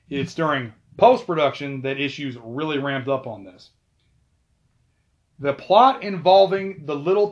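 A man casually talking through film trivia, chatty, with asides and quick self-corrections. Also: on the high side, dull audio. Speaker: video host.

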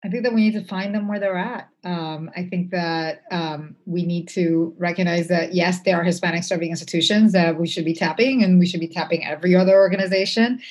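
A woman's voice: on the low side, fairly even intonation, brisk, hardly any pauses, a little mellow. A woman speaking as an interview guest, conversational, reflective and earnest, with emphasis on her points.

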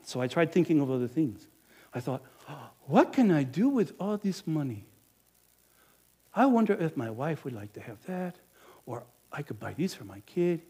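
A man performing a greedy, self-justifying innkeeper in a first-person monologue, swinging between loud bluster and hushed confession. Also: fairly high pitch, very animated, normal speed, breathy edge. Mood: guilty. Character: greedy self-justifying male Bethlehem innkeeper, middle-aged. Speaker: storyteller performing a character monologue.

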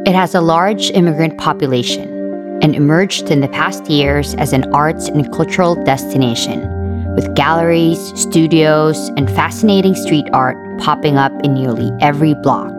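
A woman narrating a documentary feature with a measured, storytelling delivery. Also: low voice. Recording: music playing behind the voice.

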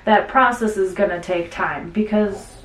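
A woman's voice: mid-range voice, measured pace, a little hiss or hum. A chatty woman co-hosting a casual podcast, rambling from one point to the next with a stuffy nose. She sits back from the mic, so her voice carries in a roomy space. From central Wisconsin. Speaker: podcast co-host. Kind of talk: casual chat. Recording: roomy sound, mic at a distance.

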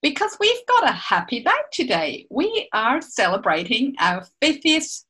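A woman in her fifties hosts a casual podcast chat. Her Swiss accent comes through in a bright, forward voice, and her pitch moves around in a lively way. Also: unhurried, slightly rough. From Switzerland. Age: 50s. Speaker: podcast host.

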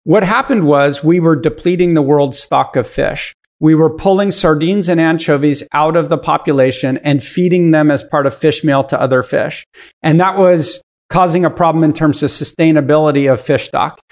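A man's voice: a little high, moderate pace. A man in his fifties, a podcast guest explaining his business in casual interview talk. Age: 50s.

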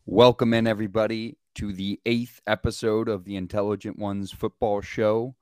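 A man's voice: lowish voice, flat delivery, moderate pace, darkish tone, clean tone, no room sound. A man hosting a casual sports podcast, easygoing, with short reactions. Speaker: podcast co-host.

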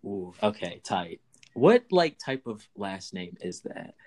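A man's voice: lowish voice, sing-song, slow, a little mellow. Playful, slangy podcast co-host, a man. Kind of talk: casual podcast hosting.

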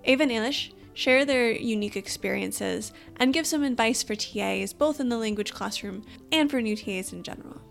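Woman host reading a scripted intro, higher gravelly voice, faint music under her. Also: brisk, hardly any pauses. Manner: reads prepared lines evenly. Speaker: podcast host.

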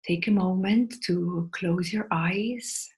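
A woman leading a guided meditation in a soft, soothing, breathy voice that often drops toward a whisper, with long pauses between short phrases. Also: on the low side.

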